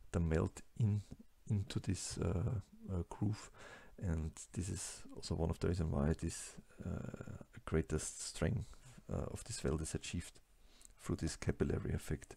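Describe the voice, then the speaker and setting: Low gravelly man lecturing over slides. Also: fairly even intonation, mellow. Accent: German-speaking. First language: German. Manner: halting, many fillers and restarts. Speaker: lecturer on plastics engineering.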